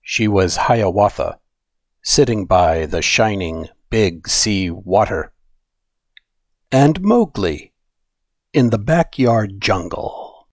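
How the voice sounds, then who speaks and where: A man reading a children's story aloud in an expressive, deliberate storytelling manner. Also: on the low side, dry recording, studio quality. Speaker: storybook reader.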